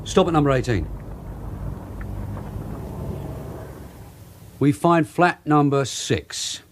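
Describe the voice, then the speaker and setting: A male actor plays a brisk British detective sergeant in a radio drama. His pitch swings widely as he gives clipped, authoritative orders, over clear background noise with vehicle sounds. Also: lively intonation, natural voice. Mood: commanding.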